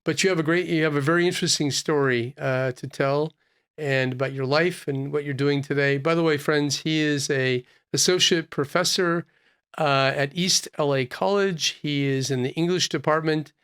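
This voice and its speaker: A man hosting an interview podcast, asking questions in an unhurried, conversational way, often restarting his phrases. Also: on the high side, steady tone, a bit bright, clean tone. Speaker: podcast host, physician.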